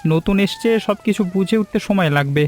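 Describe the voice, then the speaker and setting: A man playing a concerned, caring husband away from home in an animated family story, speaking in a hesitant, halting way. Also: high-pitched, slight background noise, close to an everyday voice.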